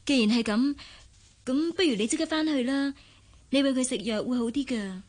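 A woman with a Hong Kong Cantonese accent acting in a radio drama as a gentle, caring secretary with a soft, coaxing way of talking. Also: sits high, normal speed. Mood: helpful. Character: gentle, caring female secretary posing as a mother.

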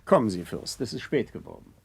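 An actor playing an urbane presiding judge, polite, unruffled and detached, in a 1960s German radio drama. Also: male voice, lowish voice, very animated, fairly quick, vocal fry, husky, exaggerated voice.

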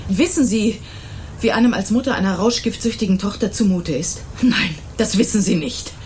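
A woman's voice: mid-range voice, moderate pace, a little mellow, close to an everyday voice. A middle-aged woman acting an indignant, self-righteous mother in a German radio crime drama, with a stern, emphatic delivery. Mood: indignant, defiant. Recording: 1980s radio drama with faint noise.

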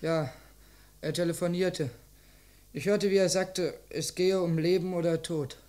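A man's voice: high-pitched, steady tone, a little slow, a little mellow, slight background noise. A young man playing an earnest, defiant teenage boy under police questioning in an old German radio crime drama, defensive and impulsive. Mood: earnest, confessing. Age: young adult. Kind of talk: mid-20th-century German radio crime drama acting.

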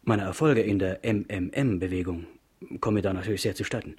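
A man's voice: low-pitched, brisk, a little mellow, slightly rough. A man playing a self-assured, ambitious schoolteacher in a radio drama, speaking in a formal, instructive way. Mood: pleased, confident. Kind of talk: 1970s German radio drama acting.

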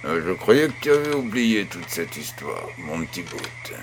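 A man's voice: low-pitched, big pitch swings, moderate pace, a little hiss or hum. An actor playing an affectionate old farmer grandfather, patient and encouraging, in a French audio drama. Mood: tender.

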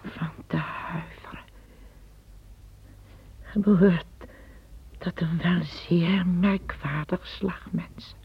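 An actress playing an old, tired empress in a radio play, speaking in a breathy, hushed voice that often sinks to a whisper. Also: female voice, fairly low pitch, steady tone, slow, long pauses, dry recording, exaggerated voice. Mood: uneasy. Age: older. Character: old weary empress, fearful and plain-spoken. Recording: tinny lo-fi old radio recording.

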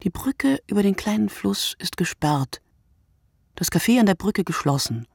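Gravelly, husky voice reading a poetic monologue. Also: mid-range voice, big pitch swings, normal speed, no room sound, studio quality. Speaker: reader of a radio play monologue. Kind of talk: literary radio play monologue, poetic scripted narration.

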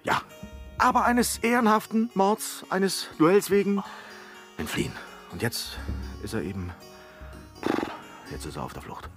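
An actor playing a talkative, bumbling manservant who stammers and blusters theatrically through invented lies in a radio play. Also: male voice, high voice, wildly swooping pitch, measured pace, pauses often, a bit bright, faint music behind, exaggerated voice. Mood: flustered, blustering. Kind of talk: German radio play acting of classic comedy.

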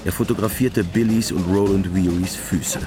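Deep-voiced male radio drama narrator. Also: steady tone, moderate pace, noisy background. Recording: music behind the voice. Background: music.

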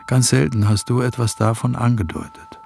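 An actor playing a reflective, reproachful friend in a radio play, speaking in a hushed, gravelly voice. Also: male voice, medium pitch, moderate pace, slight background noise. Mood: reproachful, sad. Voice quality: gravelly, husky. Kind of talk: German radio play acting.

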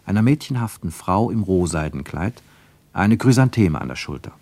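A man's voice: sits low, expressive, moderate pace, warm tone, no room sound. A man narrating a radio play in a scripted, literary storytelling style.

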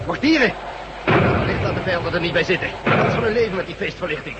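A man's voice: fast, lightly acted. A man playing a commanding, sardonic resistance chief in a science fiction radio drama. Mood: urgent shouting. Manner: sardonic, barking orders. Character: commanding male resistance chief, dry and decisive. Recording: noisy radio play recording with vehicle rumble.

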